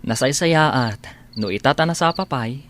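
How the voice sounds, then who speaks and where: An actor playing a concerned friend who gives frank advice in a radio drama, earnest and coaxing. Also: male voice, medium pitch, expressive, unhurried, lightly acted. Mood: advising. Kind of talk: Ilocano radio drama acting. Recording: noisy track with clicks and insects.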